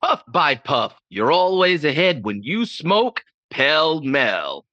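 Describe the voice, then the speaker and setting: A man's voice: on the high side, big pitch swings, slow pace, sharp tone, dry recording. A man reading commercial copy emphatically in polished 1950s radio announcer style. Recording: dull lo-fi sound, dry.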